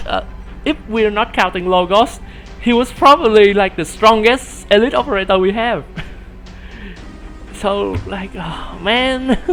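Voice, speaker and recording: Guy with a kinda high baby-ish voice, rambling stream chat, lots of uh and like, laughs a bunch, faint music behind. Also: measured pace, fairly bright tone. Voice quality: youthful, boyish voice. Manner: rambling, laughs often, many fillers and restarts. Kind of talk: casual live-stream commentary.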